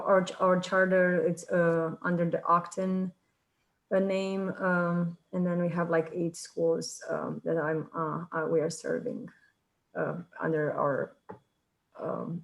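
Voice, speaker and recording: A woman from Romania with a Romanian accent, introducing herself informally in a meeting and haltingly searching for words. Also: lowish voice, rough recording. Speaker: high school ESL teacher and assistant chair.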